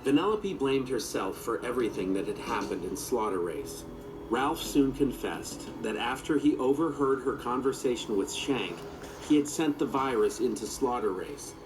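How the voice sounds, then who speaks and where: A man's voice: medium pitch, expressive, normal speed, music in the background, rough recording. A man reading a children's story aloud as its narrator.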